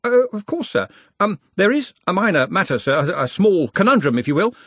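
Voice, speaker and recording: A man's voice: high-pitched, big pitch swings, a little fast, fairly bright tone, breathy edge, no echo, voice pushed up, exaggerated voice. A man voicing a nervous, dutiful young male academic clerk who stammers and defers.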